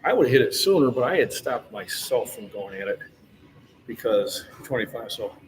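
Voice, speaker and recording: A man's voice: medium pitch, big pitch swings, normal speed, poor audio. A man in his sixties hosting a casual fitness livestream, chatting with viewers in a friendly, encouraging coach's way. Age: 60s.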